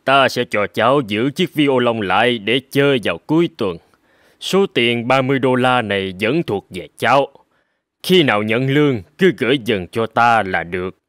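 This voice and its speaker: A man playing a kindly older gentleman. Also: medium pitch, lively intonation, moderate pace, dry recording, studio quality, close to an everyday voice. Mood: encouraging. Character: kindly portly older gentleman violin buyer. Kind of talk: cartoon voice acting.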